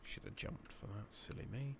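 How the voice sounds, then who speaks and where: A British man giving soft-spoken, partly whispered ASMR commentary on a video game, muttering casually to himself on a close microphone. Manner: casual, unhurried, muttering to himself, mild swearing. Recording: noisy mic with keyboard clicks.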